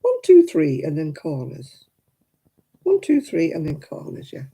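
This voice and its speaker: A woman in her seventies hosting a crafting livestream, chatting homely and warmly with viewers by name and laughing often. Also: low voice, wildly swooping pitch, unhurried, vocal fry, husky. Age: 70s. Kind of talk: casual chat reading out viewer comments.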